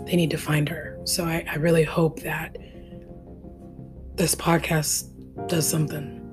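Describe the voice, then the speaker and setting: A woman's voice: deep voice, little pitch movement, measured pace, over music. A woman co-hosting a casual true-crime podcast, talking earnestly and chattily and laughing easily. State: hoarse, losing her voice. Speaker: podcast co-host.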